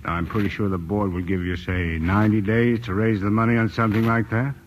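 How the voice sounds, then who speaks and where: An actor playing a mock-polite, calmly threatening crime boss in a 1940s-style radio drama. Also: male voice, fairly low pitch, a little fast, lightly acted. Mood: sly, insinuating. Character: smooth, menacing male crime syndicate boss. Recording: old radio recording, faint noise.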